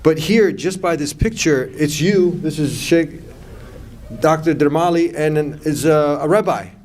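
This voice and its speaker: A man's voice: on the high side, unhurried, a little mellow. A man hosting an interview session, asking friendly prompting questions. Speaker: interview host. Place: mosque event.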